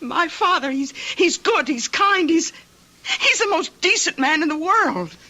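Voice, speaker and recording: An actress playing an earnest young schoolteacher in an old radio drama, with a hesitant, emotional delivery. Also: female voice, very high voice, big pitch swings, unhurried, bright, forceful tone, heavily acted. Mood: shocked, indignant. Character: sheltered young schoolteacher, gangster's daughter, earnest and distraught.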